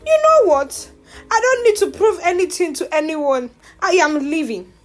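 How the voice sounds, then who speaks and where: A woman voicing a desperate, panicky young female liar in a dramatic audio story, shrill and theatrical. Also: lively intonation, normal speed, faint music behind. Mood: hysterical defiance.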